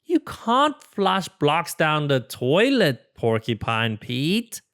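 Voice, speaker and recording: Reader putting on a little rabbit, storybook, worried, emphatic, protesting, animated pitch, smooth voice. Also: takes it slowly, heavily acted. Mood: worried, exasperated. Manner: protesting, expressive, emphatic delivery. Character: exasperated, worried little rabbit with messy room.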